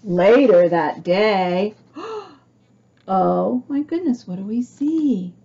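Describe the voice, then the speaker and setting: A woman reads a storybook to small children at an unhurried pace. Her pitch swings in an animated way, with some creak in the voice, and she chats to her young listeners as she goes. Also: muffled recording. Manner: expressive, asks young listeners questions. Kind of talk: read-aloud picture book with chatty asides.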